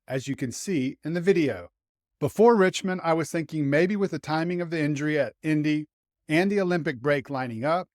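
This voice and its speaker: A man with a fairly high voice delivers scripted motorsports commentary. He is opinionated and a little wry as host, and the recording is very dry with no room sound. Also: dry recording.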